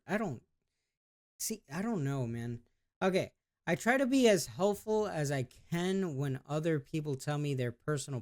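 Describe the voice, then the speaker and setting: A man in a casual vlog, reading test questions aloud and answering them in a rambling, hesitant way, with laid-back slangy talk. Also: fairly high pitch. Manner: rambling and hesitant, with many restarts and trailing off. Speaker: YouTuber.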